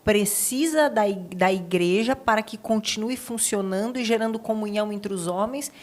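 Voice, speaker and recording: A woman's voice: mid-range voice, normal speed, darkish tone. A Brazilian woman with a mid-range voice speaks as a podcast host. Her style is conversational, restating and affirming the points made to her. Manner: summarizes and affirms the guest's points. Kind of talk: conversational interviewing.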